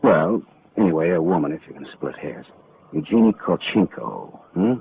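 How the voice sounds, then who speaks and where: A man's voice: rumbling low, big pitch swings, normal speed, creaky, heavily acted. A man playing a wisecracking hard-boiled private detective, dry and clipped, in 1940s radio acting. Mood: wry. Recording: tinny old radio broadcast, faint hiss.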